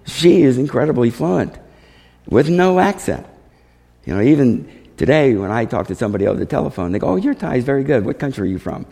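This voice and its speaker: A man with a gravelly, mellow voice tells missionary stories as a testimony. He is animated in how he relays who said what. The voice rings through a reverberant hall. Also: medium pitch, brisk. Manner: animated, acts out dialogue. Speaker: missionary speaker. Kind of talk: testimony storytelling to a congregation.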